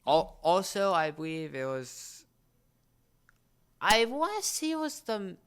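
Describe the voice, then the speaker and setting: A young man co-hosting a casual podcast chat, speaking in a halting, rambling way and often repeating words. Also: sits high, big pitch swings, a bit bright, clear, resonant tone. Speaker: podcast co-host.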